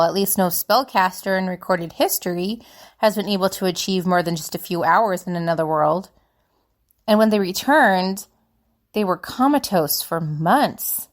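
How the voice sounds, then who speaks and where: A woman's voice: fairly low pitch, natural voice. A woman playing a young spellcaster student in an audio drama, confiding and hesitant, anxious and wistful. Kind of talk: dramatic monologue in fiction podcast.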